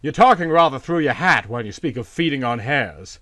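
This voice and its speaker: A man's voice: mid-range voice, lively intonation, normal speed, bright, forceful tone, no room sound, clean studio sound. A man voicing a stuffy country landowner in a storybook, demanding, indignant and authoritative. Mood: irritated.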